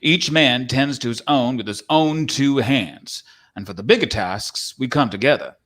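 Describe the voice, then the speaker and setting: Casting: old-time cowboy male actor, medium pitch, rustic, boastful, teasing, live theatre reading. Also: moderate pace, a bit bright, natural voice. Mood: folksy, curious. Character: folksy, proud old-time cowboy living in a painting. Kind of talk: dramatic script reading.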